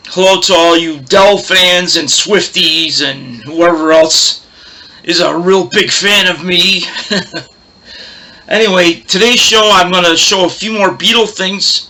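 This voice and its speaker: A man with a big, loud, roaring voice hosting a casual record collection show, chatting in a rambling way and losing his train of thought. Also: high-pitched, poor audio. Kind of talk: casual show-and-tell chat.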